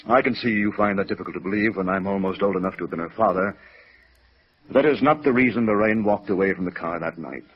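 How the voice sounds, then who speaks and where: A man playing a proud, lovelorn older gentleman in a 1950s radio drama, formal and defensive, with bitterness underneath. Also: fairly low pitch, quick pace, husky. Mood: defensive, pained. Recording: muffled vintage radio recording.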